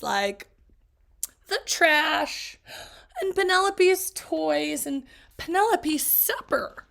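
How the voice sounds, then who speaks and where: A teacher reading a picture book aloud to children, expressive and chatty, with little asides to her listeners. Her voice is high and slow-paced, with a gravelly creak that shows up when she drops her voice.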